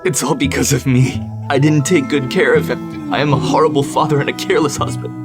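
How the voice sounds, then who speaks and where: A man playing a sorrowful, earnest father, a fallen god, in an animated bedtime story. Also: mid-range voice, fairly quick, over loud music, lightly acted. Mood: remorseful.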